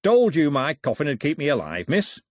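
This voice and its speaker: A man acts a scruffy storybook ship's cook, cheerful and humble. His pitch hops up and down, and the voice is a touch husky. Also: wildly swooping pitch, moderate pace, no room sound, studio quality. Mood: beaming. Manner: humble, chirpy, rough grammar.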